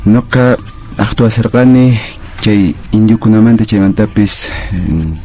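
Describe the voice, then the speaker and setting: Mellow low Andean Peruvian man. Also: measured pace, soft background music. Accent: southern Peruvian Andean. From Puno, Peru. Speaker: radio drama actor. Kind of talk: self-introduction to audience.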